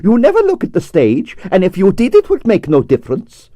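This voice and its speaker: A man's voice: sits high, huge pitch swings, brisk, dark tone, no echo, pitched above the performer's own voice. A man doing a hot-tempered male dancer. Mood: indignant. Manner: indignant, cutting.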